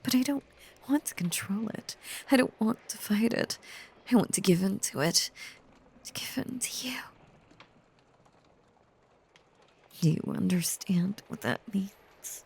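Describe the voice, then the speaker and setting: A woman voicing an obsessive, possessive female werewolf lover in an intimate audio roleplay, breathy and often whispering, with a halting, intense, confiding delivery that is tender yet menacing. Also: mid-range voice, darkish tone. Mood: yearning, intense.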